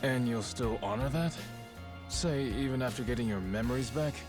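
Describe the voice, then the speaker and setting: A man playing a frank, weary middle-aged yakuza boss in a video game, talking in gruff, matter-of-fact slang. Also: medium pitch, normal speed, lightly acted. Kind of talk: video game cutscene acting. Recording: loud music behind the voice.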